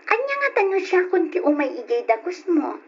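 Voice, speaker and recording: A woman's voice: very high voice, big pitch swings, fairly quick, exaggerated voice. An actress playing a demanding, jealous girlfriend of a two-timing man in an Ilocano radio drama, emotive and questioning. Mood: questioning, coaxing. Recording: dull old radio drama recording.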